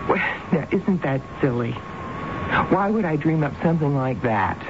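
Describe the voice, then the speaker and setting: A woman's voice: low voice, lively intonation, unhurried. Through a dull, noisy old radio recording comes a middle-aged housewife, troubled and stubborn. Her intonation swings widely as she defends herself in 1970s radio drama style, hesitant one moment and insistent the next. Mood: defensive.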